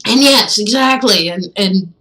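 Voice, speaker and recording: A woman's voice: medium pitch, a little slow, pushed and bright, faint music behind, low-quality recording. A woman from Canada giving casual, wry and sarcastic commentary as she streams a game.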